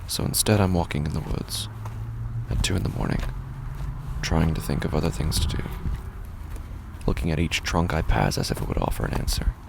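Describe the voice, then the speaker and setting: A man playing a grieving, sleepless man in a horror audio drama, brooding and halting, in a gravelly, breathy near-whisper. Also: very deep voice, sing-song, background noise, full character voice. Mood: numb, brooding. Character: grieving, hollow, sleepless man wandering at night. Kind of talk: scripted first-person horror audio fiction narration.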